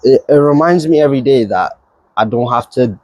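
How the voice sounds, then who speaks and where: A man's voice: mid-range voice, fairly quick, a little mellow, no echo. Earnest young man. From Nigeria. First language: Yoruba. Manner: eager, earnest, laughs easily, repeats phrases. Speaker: new church digital creative, interviewee. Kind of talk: casual interview answers.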